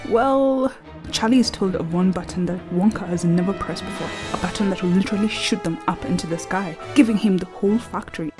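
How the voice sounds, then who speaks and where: A woman narrating a scripted video essay in an earnest, reflective way, often softly and breathily. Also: lowish voice, brisk, music in the background.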